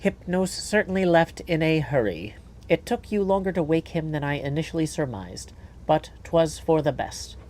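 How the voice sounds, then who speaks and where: A man voicing a stern, composed older witch, a female mentor in a video game, in measured, formal, old-fashioned phrasing. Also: a little high, expressive, a little mellow, pitched below the performer's own voice, natural voice. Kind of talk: video game character acting.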